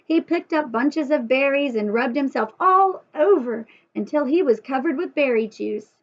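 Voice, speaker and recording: A woman reading a story aloud to young children in an expressive, sing-song way, adding chatty asides and questions to the listeners. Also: high voice, normal speed, lo-fi sound.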